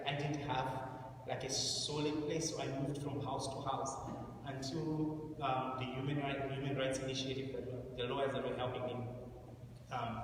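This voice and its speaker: A man in his thirties tells his own story to an audience in a large hall, halting and earnest, stopping and restarting often. He sounds set back from the mic, and the long ringing reverb and steady noise of the room carry behind him. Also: mid-range voice. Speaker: asylum seeker telling his story at an event. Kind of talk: personal testimony to an audience. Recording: echoey hall, distant mic, noisy.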